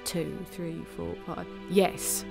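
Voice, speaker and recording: A woman voicing a cocky, excitable male elf, Santa's helper, in a Christmas story. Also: lowish voice, slow pace, vocal fry, music in the background, heavily acted. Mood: matter-of-fact. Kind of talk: cartoonish character acting in a Christmas story.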